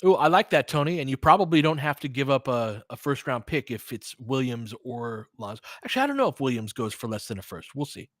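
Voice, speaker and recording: A man's voice: medium pitch, big pitch swings, brisk, few pauses, a bit bright, no room sound, studio quality. A man with an American accent giving casual live basketball commentary, thinking aloud and chatting conversationally with listeners. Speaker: host of fan play-by-play livestream.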